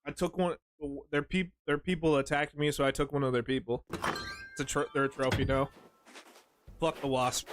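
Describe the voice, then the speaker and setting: A man's voice: medium pitch, steady tone, moderate pace, background noise. A man streaming a game, chatting casually with his chat, rambling and joking with lots of swearing. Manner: rambling, profane, jokey storytelling.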